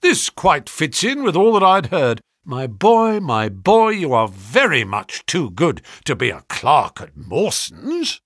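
Man voicing a brisk, high-pitched, pushy financial agent. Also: huge pitch swings, slightly rough, no room sound, studio quality, full character voice. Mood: effusive, flattering. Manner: sharp, brisk, exclamatory. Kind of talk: audiobook character dialogue.

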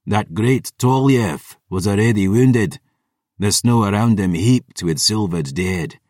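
A man's voice: on the low side, slow pace, a little mellow, clean studio sound. A man voicing a cold, proud, mocking undead warlord in an audiobook, with archaic, imperious phrasing. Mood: cold taunting. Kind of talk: dramatic audiobook fantasy dialogue. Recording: roomy echo, distant from mic.

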